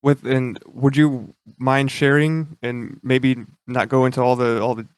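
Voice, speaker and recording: A podcast host asking long, hesitant questions full of fillers. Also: male voice, mid-range voice, level tone, unhurried, clear, resonant tone, dry recording. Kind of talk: interview questions.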